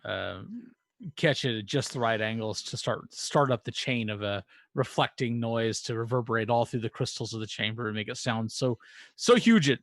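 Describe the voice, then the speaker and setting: A man runs a tabletop game as game master. He speaks in a mid-pitched voice, explaining and narrating informally on the fly. The recording is dry, with very little room sound. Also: fairly even intonation, normal speed, a bit bright, dry recording.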